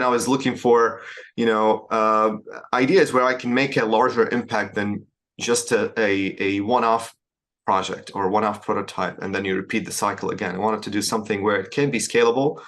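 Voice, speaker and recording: A man from Syria, a founder answering interview questions in a measured way with frequent hesitations and restarts. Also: lowish voice, a bit bright. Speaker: startup founder, guest.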